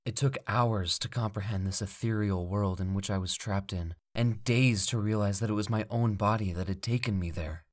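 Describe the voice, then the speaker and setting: A man voicing a driven wandering mage who tells his own life story in measured, theatrical storybook narration. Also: lowish voice, natural voice. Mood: hushed, awed. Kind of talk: dramatic first-person fantasy story narration. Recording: music under the voice. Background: background music.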